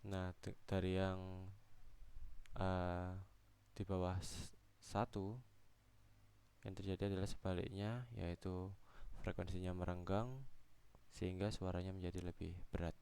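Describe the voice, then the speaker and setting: Young male student presenter. Smooth, lowish voice, halting step-by-step technical explanation. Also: level tone, darkish tone. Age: young adult.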